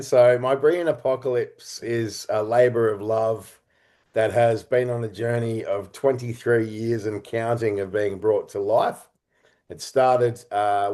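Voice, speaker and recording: A middle-aged man speaking as an interview guest, telling stories in a reflective, halting and earnest way. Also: mid-range voice, a little mellow. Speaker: interview guest, writer, director and performer.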